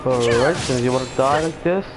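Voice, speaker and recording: A man's voice: medium pitch, normal speed, poor audio. A man giving casual gameplay commentary, reacting in short slangy exclamations. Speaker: gamer recording gameplay. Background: loud video game music.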